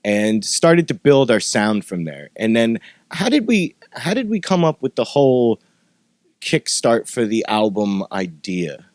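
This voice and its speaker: A man with a mid-pitched voice hosts a relaxed conversational podcast. He talks informally and swears freely. The sound is dry, with very little room on it. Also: a little slow, no echo. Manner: profane, informal. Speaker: podcast host and former metal band member. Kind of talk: casual podcast chat.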